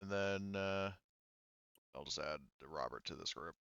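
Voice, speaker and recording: A man game-mastering a tabletop roleplaying game in casual talk, halting as he thinks aloud. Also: sits low, gravelly, fairly bright tone, smooth, dry recording, dull audio.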